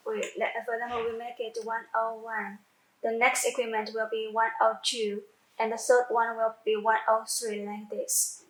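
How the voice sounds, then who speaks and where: A woman who speaks English as a second language, giving a halting step-by-step software tutorial with repeats and self-corrections. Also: fairly high pitch, flat delivery. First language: not English. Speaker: product tutorial presenter. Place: indoor room, demonstrating at a computer screen. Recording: echoey room sound.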